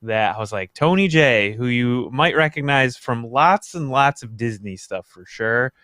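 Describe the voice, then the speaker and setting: A man, around his thirties, talking casually as a podcast panelist in a halting, rambling way. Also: mid-range voice, lively intonation, a bit bright, no echo, studio quality. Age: millennial, around 30s. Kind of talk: casual group chat.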